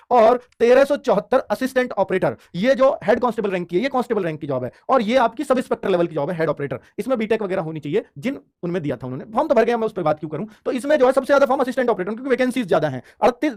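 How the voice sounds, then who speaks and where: Guy coaching students, really high voice, talks super fast, emphatic, casual explainer style. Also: a little mellow. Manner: emphatic, repetitive, checks in with listeners. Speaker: exam coaching teacher. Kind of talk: informal explainer lesson addressed to students.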